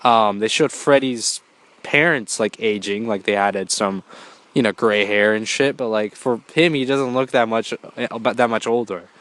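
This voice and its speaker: A man's voice: on the low side, a little slow, fairly bright tone. A man hosting his own show, talking casually through a movie review while congested from a cold, rambling with lots of fillers and the odd swear. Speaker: podcast host.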